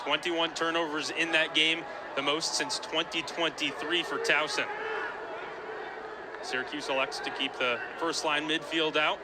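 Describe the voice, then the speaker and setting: Man, fairly high voice, sports reporter and announcer, broadcast commentary style, clear outdoor background noise. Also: level tone, unhurried, over a noisy crowd. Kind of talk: scripted TV sports reporting and lacrosse play-by-play commentary.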